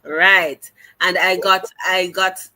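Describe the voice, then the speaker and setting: Jamaican woman guest, teacher, unhurried earnest storytelling with a bright, forceful tone. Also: lowish voice, little pitch movement. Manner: earnest, halting restarts, slips into patois. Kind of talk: conversational storytelling in an interview.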